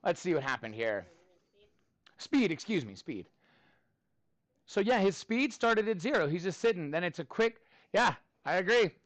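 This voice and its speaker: High-voiced man teaching a classroom. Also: moderate pace, pauses often, no room sound. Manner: animated, informal, encouraging. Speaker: middle school math teacher. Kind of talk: classroom teaching, talking with students.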